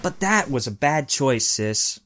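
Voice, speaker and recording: A woman voicing an excitable, boastful and whiny teenage younger brother in an audio drama. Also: very deep voice, measured pace, slight background noise, exaggerated voice. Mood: cocky.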